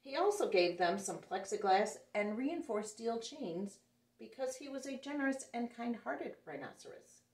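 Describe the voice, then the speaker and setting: A woman reading a picture book aloud to children in an animated, expressive way, recorded in an echoey room a little away from the mic. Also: on the high side, recorded from a distance. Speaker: children's storyteller.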